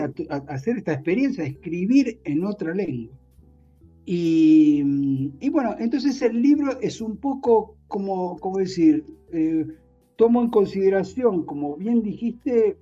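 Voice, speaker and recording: A middle-aged man speaking Spanish with a marked Italian accent, his voice dark and a little husky. He talks thoughtfully, pausing and restarting as he searches for words, and the sound is slightly muffled and lo-fi. Faint music sits in the background. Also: sits high. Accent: Spanish with a strong Italian accent. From Argentina. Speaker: guest author. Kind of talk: reflective interview conversation.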